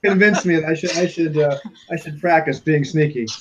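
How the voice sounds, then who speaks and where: A man playing a tabletop game, talking quickly and eagerly. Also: fairly high pitch, muffled recording. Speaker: player. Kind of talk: casual tabletop play.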